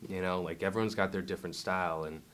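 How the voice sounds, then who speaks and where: A very low-voiced man in a relaxed documentary interview. He talks in a loose, rambling way, full of fillers and restarts, the tone of someone chatting easily about his work. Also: fast. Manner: laid-back, rambling with many fillers. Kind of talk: casual interview.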